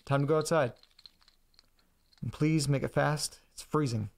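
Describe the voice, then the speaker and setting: A man's voice: a little high, a little slow, vocal fry, slight background noise. A man acting as an anxious, chatty young man in an audio drama, rambling and hesitant in a casual, naturalistic way. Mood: tired, musing. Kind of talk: naturalistic first-person audio drama, talking to himself.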